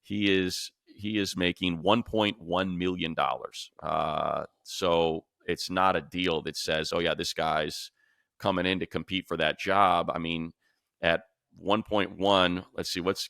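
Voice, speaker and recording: Very deep, gravelly male sports host. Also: a little slow, pushed and bright, no echo, clean studio sound. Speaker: sports talk show host. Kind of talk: casual sports analysis.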